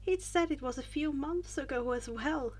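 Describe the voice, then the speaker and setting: A woman's voice: high-pitched, moderate pace. A woman narrating fan fiction as an amateur audiobook reader, reading from a script with the odd stumble.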